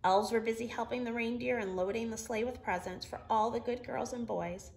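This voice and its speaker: A middle-aged woman reading a children's story aloud in an expressive, emphatic storytelling manner. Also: a little high, brisk, creaky. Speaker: storybook reader. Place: living room, indoors.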